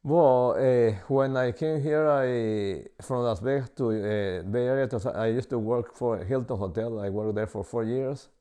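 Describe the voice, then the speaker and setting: A man in his sixties from El Salvador, with a Salvadoran Spanish accent, answering interview questions in halting English full of pauses and fillers. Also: mid-range voice, warm tone, clear, resonant tone. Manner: halting, many uh fillers and restarts.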